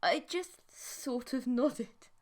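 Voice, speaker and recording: A woman's voice: sits high, animated, drawn-out words. A woman reading scripted first-person fiction as an audiobook narrator.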